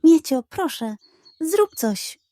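A greedy little storybook pug, played by a woman storyteller, crafty and prone to whining. The pitch is raised and the delivery theatrical, in a dry recording. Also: big pitch swings, slow pace, dark tone, no echo, heavily acted. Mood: whiny, suffering. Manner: scheming, then moaning and pleading. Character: sly greedy pug, whiny when overstuffed.

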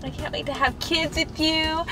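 A woman's voice: high-pitched, steady tone, moderate pace, music in the background, rough recording. A young woman bantering playfully and whining as a girlfriend in a comedy sketch, filmed in a car by a dashboard camera. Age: young adult. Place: inside a parked car.

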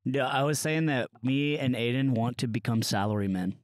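A man's voice: mid-range voice, moderate pace, no echo. A young man with an American accent trading crude, joking banter as a podcast host. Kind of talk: casual banter. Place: outdoor rooftop deck. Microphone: handheld microphone held close.

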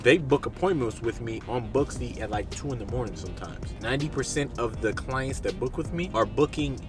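A man's voice: mid-range voice, fairly quick, over music. A man in his thirties, a barber, gives direct, conversational business advice to camera from a parked car. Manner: direct, conversational, repeats points for emphasis. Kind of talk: casual advice vlog to camera.

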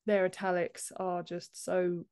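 A woman's voice: on the low side, completely flat delivery, measured pace, a little mellow, smooth. A woman in her thirties talking casually as an interview guest on a video call from a room at home. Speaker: podcast guest, author and book formatter.

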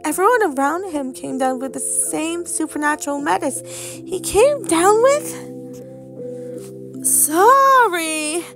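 A woman's voice: very high-pitched, lively intonation, takes it slowly, fairly bright tone, soft background music, full character voice. A woman voicing a smug cartoon girl with a coy, mockingly sympathetic manner. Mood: smug, mock-sorry. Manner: coy, mock-sorry. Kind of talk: amateur character voice lines.

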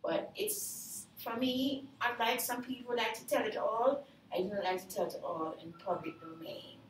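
An older woman with a Jamaican accent, telling her life story to her son in a measured, earnest, explaining way, sitting beside him in a sunlit room. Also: on the high side. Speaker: interviewee. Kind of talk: reflective personal storytelling. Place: sunlit room by glass doors. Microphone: far camera. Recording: roomy, far from the mic, faint noise.

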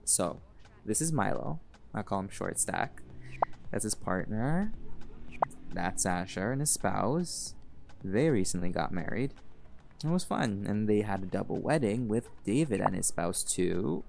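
Man playing a laid-back jaguar shifter, young adult, low gravelly voice, teasing, audio roleplay. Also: a little slow, noisy background. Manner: easygoing, teasing, relaxed drawl with laughs. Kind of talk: audio roleplay, speaking to listener.

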